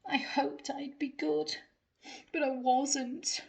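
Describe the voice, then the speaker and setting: A woman's voice: high-pitched, flat delivery, takes it slowly, creaky, voice pushed up, exaggerated voice. A woman voicing an earnest, pleading, guilty dog in a storybook for young children. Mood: guilty, sorry. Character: eager, guilty, well-meaning naughty pet dog.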